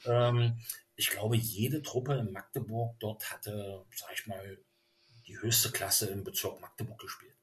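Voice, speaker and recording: A middle-aged man from Berlin, a guest in a casual interview, telling long stories slowly with many ums and uhs. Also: on the low side, fairly even intonation, low-quality recording. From Berlin, Germany. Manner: hesitant, many fillers, rambling storytelling. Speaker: interview guest, former youth footballer.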